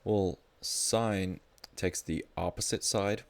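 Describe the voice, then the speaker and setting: A man's voice: sits low, slow, gravelly. A man tutoring math live in a casual, informal way, thinking aloud as he explains.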